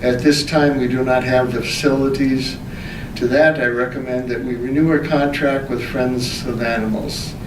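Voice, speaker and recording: A man's voice: medium pitch, fairly even intonation, normal speed. A man chairing a city council meeting as mayor, formally reading reports aloud and moving through the agenda. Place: indoor council meeting room. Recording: echoey meeting room, distant mic, background noise.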